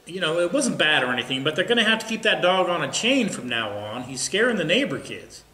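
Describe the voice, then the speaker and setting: Male actor as earnest, folksy small-town card player and gossip, stage comedy, high animated voice, echoey. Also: fairly quick. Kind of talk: comic stage play dialogue.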